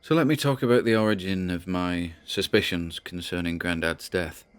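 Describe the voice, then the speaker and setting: A British man playing a troubled grandson who records a halting, confiding audio diary in an audio drama. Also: low-pitched, smooth, close to an everyday voice. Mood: wistful, reflective.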